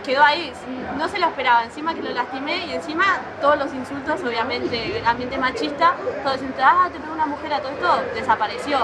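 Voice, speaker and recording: A woman with a Uruguayan accent, a coffee vendor at a market, telling stories in an animated, emphatic way. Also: sits high, quick pace, hardly any pauses. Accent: Uruguayan Spanish. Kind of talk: casual group chat. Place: busy outdoor market. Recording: loud crowd and traffic noise.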